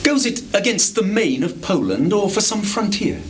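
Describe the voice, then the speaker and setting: Anguished prince male actor, higher-pitched, unhurried declamation full of self-reproach, classical live theatre on noisy tape. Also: big pitch swings. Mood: questioning, grave. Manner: declamatory, deliberate, impassioned. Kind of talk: Shakespearean stage acting. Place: small theatre stage in Tokyo.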